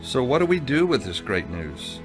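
A man narrating a gospel video in a slow, earnest, scripted way. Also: mid-range voice, very animated. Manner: slow, earnest, measured delivery. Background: background music.

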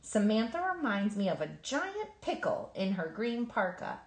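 Woman, children's book read-aloud, expressive storytelling, lively intonation, echoing room recording. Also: mid-range voice, moderate pace. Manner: animated, emphatic storytelling. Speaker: storybook reader.

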